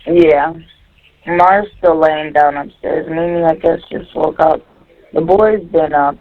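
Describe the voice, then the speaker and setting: A woman's voice: low-pitched, steady tone, takes it slowly. Woman on a muffled phone line. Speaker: caller on a jail phone call. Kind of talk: casual phone conversation. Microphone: telephone. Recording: dull lo-fi recorded jail phone line.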